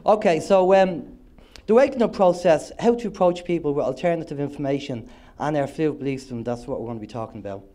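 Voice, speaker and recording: An Irish man with a cold gives an upbeat, joking stage talk, his voice a bit higher than most men's and nasal. His tone is soft and mellow rather than bright. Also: fast. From Ireland. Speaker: co-host of an internet radio show, conference speaker. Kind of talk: presenting on stage to a live audience. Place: conference hall on stage.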